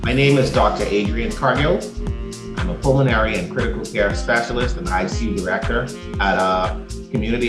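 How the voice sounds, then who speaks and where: A man's voice: on the low side, echoey room. A man from the Bahamas, a doctor, giving a prepared, methodical talk that lists points in order.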